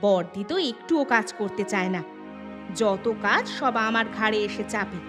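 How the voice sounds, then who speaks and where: A woman voicing a sharp-tongued, touchy young housewife and mother in a cartoon, nagging and quick to retort. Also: mid-range voice, animated, over music, natural voice. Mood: coaxing, exasperated. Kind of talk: Bengali cartoon story acting.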